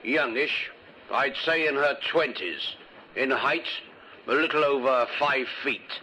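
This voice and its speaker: An actor in an old radio drama playing a matter-of-fact doctor who talks in clipped, clinical phrases. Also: male voice, medium pitch, takes it slowly, bright, forceful tone, breathy edge, full character voice. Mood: clinical, grim. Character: brisk, matter-of-fact post-mortem doctor. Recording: faintly noisy old radio.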